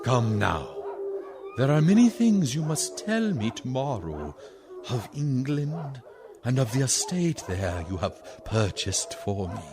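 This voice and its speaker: A man playing a courteous, menacing old vampire count in a radio play, speaking slowly and ceremoniously. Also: medium pitch, big pitch swings, over music. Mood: courteous, commanding. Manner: slow, deliberate, ceremonious.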